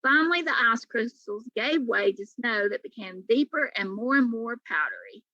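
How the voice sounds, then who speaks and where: A woman's voice: a little high, very animated, moderate pace, sharp tone, no room sound, dull audio. A woman reading a children's story aloud as a teacher would for pupils. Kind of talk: storybook read-aloud narration.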